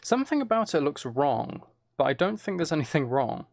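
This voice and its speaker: A man's voice: a little high, very animated, fairly quick, gravelly, no echo. A man giving casual gameplay commentary, thinking aloud and correcting himself as he chats to viewers. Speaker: streamer playing a building game.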